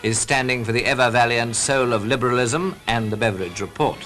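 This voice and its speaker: A man's voice: medium pitch, fairly quick. 1940s British newsreel narrator, male, over music. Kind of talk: scripted 1940s newsreel commentary.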